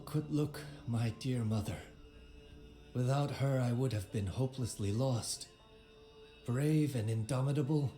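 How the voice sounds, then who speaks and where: An actor playing an aging, proud conqueror who tells his life story in a measured, theatrical, wry way, as dramatic game narration. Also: medium pitch, natural voice. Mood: rueful, tender. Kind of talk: dramatic scripted narration of a memoir. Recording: roomy voice over background music. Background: music.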